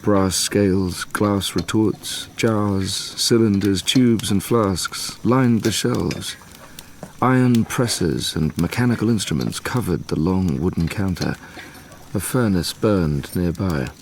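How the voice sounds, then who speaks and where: An obsessed young gothic scientist in an audio drama. His voice is low and gravelly, his delivery formal, measured and intense, with a haunted gravity. Clear noise sits under the voice. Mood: grave, reflective. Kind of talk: audio drama acting, first-person narration and dialogue.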